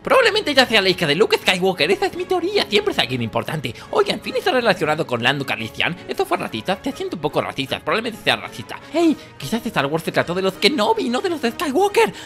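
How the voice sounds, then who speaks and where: A woman with a Latin American Spanish accent voicing a chattering, excitable nerdy droid in a cartoon parody dub. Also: mid-range voice, fast talker, hardly any pauses. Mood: speculative. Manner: rambling, geeky, excitable. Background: background music.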